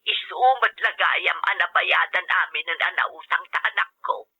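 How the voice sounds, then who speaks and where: A woman's voice: fairly low pitch, steady tone, moderate pace, pushed and bright, slightly rough, heavily acted. A woman with an Ilocano accent playing a caring, inquisitive older mother in a radio drama, heard through a telephone filter. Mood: pleased, reassuring. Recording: muffled telephone line.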